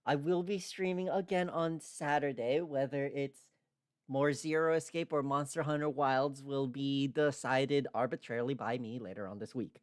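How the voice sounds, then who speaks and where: A streamer hosting a casual livestream, talking in a halting, rambling way. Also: mid-range voice, fairly even intonation, no echo, clean studio sound. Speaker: streamer, host.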